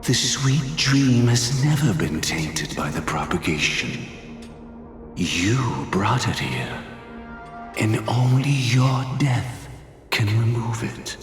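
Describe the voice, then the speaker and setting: An actor playing a solemn, ruthless schemer in a video game, speaking in grave, grandiloquent, formal phrases. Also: male voice, mid-range voice, big pitch swings, slow. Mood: cold, solemn. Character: solemn, ruthless older male schemer of lofty purpose. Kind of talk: theatrical video game voice acting. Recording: echoey, music underneath. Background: dramatic game music.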